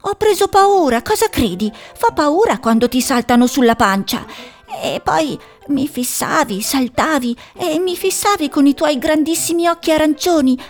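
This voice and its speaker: A woman voicing a small, frightened male pet hedgehog who talks high and shrill and rises to shouting in a storybook. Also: animated, normal speed, faint music behind. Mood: plaintive.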